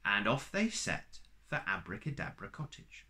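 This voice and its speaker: A man reading a children's picture book aloud in a slow, playful storytime manner, adding little asides to listeners. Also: fairly low pitch, wildly swooping pitch, bright, forceful tone.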